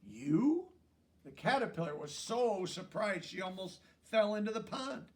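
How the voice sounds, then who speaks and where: A man reading a children's story aloud as an expressive narrator. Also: high voice, normal speed, distant mic. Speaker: storybook reader.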